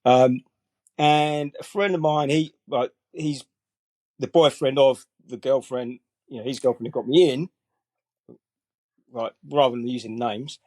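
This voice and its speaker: A man in his forties, a guest on a podcast, talking in a relaxed, rambling way full of fillers and restarts. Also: medium pitch, unhurried, long pauses, dry recording. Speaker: guest colorist. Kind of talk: casual interview chat.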